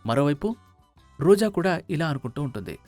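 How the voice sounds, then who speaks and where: A man's voice: fairly high pitch, very animated, fairly quick, darkish tone, dry recording, soft background music. A man narrating a scripted moral story.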